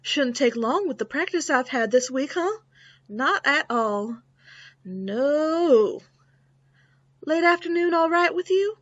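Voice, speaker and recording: A high-pitched, ghoulishly cheerful frontier doctor, acted by a woman, relishing every grim word in a theatrical western radio play. The recording is dry and a little dull. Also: animated, slow, a bit bright, no room sound, exaggerated voice. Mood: gleeful, morbid. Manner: theatrical, relishing, muttering asides. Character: gleefully morbid frontier doctor delighted by fresh corpses. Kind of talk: old-time western radio drama acting.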